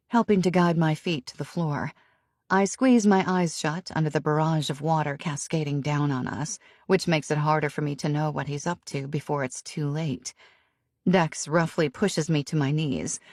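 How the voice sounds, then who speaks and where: A woman narrating a romance novel in the first person, in an intimate, even storytelling manner. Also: low voice, moderate pace, no echo, clean studio sound. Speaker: audiobook narrator.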